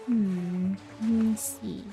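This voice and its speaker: A woman streaming a game with casual, relaxed commentary, thinking aloud as she plays. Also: medium pitch, very slow, vocal fry, faint music behind.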